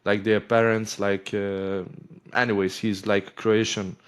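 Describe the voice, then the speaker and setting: Halting male host. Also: fairly low pitch, level tone, smooth. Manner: halting, searching for words, many fillers. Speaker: interview host. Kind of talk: casual interview conversation.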